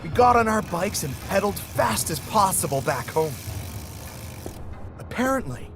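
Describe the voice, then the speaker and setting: A man's voice: sits high, big pitch swings, normal speed. A young man narrating a scripted first-person horror story, with music behind him.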